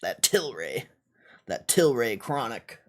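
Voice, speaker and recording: A man with a mid-range voice talks slowly as he thinks aloud on a livestream. His delivery is casual and offhand, full of slang and blunt swearing. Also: mid-range voice, sing-song. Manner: foul-mouthed, blunt. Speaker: stock market livestreamer.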